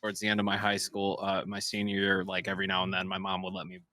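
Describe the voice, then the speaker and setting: A man's voice: low-pitched, completely flat delivery, quick pace, sharp tone. A young man hosting a podcast, chatting loosely, swearing and laughing, wandering off on tangents. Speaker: podcast host. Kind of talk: casual podcast chat.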